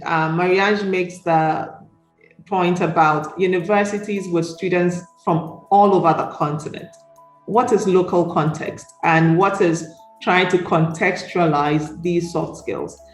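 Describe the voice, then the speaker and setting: A woman moderating a panel discussion, reflective and questioning, often checking in with the listeners for agreement. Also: on the low side. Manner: reflective, questioning, seeking agreement with tags like "right?". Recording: roomy echo.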